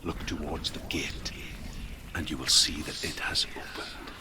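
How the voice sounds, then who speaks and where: An actor playing a tormented, grim old outcast who tells myths dramatically in a video game. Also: male voice, extremely low pitch, fairly even intonation, unhurried, background noise. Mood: bitter, mournful. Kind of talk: dramatic mythic storytelling. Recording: loud music behind the voice.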